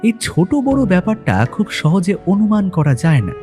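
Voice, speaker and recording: A man's voice: high-pitched, big pitch swings, moderate pace, warm tone. A man narrating a detective story in the first person, in a dramatic scripted audio-story style. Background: background music.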